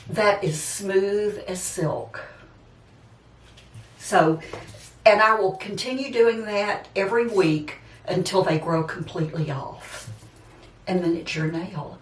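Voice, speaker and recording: A woman in her seventies chatting casually and a bit ramblingly to her viewers, addressing them directly. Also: lowish voice. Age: 70s, seventy-six. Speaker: vlogger. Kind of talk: casual personal chat to viewers. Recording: roomy echo, distant microphone.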